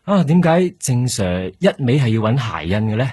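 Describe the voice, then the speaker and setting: A man's voice: mid-range voice, sing-song, normal speed, mellow, breathy edge, dry recording. An actor playing an eager young junior detective, a man with a Hong Kong Cantonese accent, tentative and inquisitive, in a radio drama. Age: young adult.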